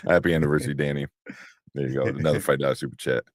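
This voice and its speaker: A man with a very deep voice co-hosting a casual livestream show, laid-back and chuckling, rambling with fillers. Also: fairly even intonation, very fast, no echo, clean studio sound. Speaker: co-host.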